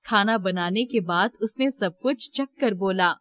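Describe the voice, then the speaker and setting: A woman's voice: medium pitch, a little slow, fairly bright tone. A woman reading scripted narration for a children's moral story.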